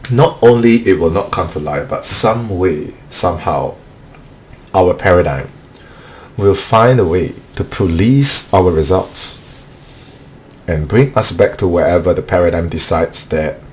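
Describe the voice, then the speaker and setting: Deep-voiced man coaching sales. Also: lively intonation, unhurried, a little mellow, slight background noise. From Singapore. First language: not English. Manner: earnest, repetitive, peppers speech with "okay". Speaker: sales trainer hosting weekly live training. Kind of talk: motivational live-stream training.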